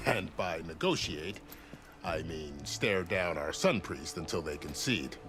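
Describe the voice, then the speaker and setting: A genial second-in-command in a fantasy video game. His voice sits low and moves animatedly, with a courteous, wry, teasing manner. Prominent music plays behind him. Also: lively intonation, takes it slowly. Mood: wry, explanatory. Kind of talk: video game cutscene acting.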